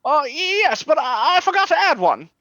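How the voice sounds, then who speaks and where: A woman's voice: sits high, very animated, moderate pace, a bit bright, dry recording, studio quality. A woman playing a glib, evasive male detective with gambling debts, theatrical and breezy, in a comedic radio play. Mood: huffy, evasive.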